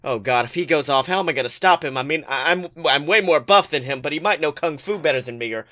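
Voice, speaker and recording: An excitable man acting as an insecure tough guy in a comic story reading. He rambles and stammers with exasperation, in a raised, slightly high voice. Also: quick pace. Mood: panicked.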